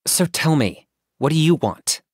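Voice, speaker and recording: A man voicing a calm, pragmatic young hunter in a video game, businesslike, deadpan and composed. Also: fairly high pitch, measured pace, dry recording, clean studio sound. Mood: dry, matter-of-fact. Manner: deadpan, matter-of-fact, composed. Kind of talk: video game character voice acting.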